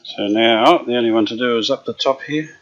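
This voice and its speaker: A man restoring an old radio, chatting casually as he works, unhurried and full of fillers. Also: mid-range voice, background noise. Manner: unhurried, thinking aloud with many fillers. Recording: dull lo-fi workshop recording.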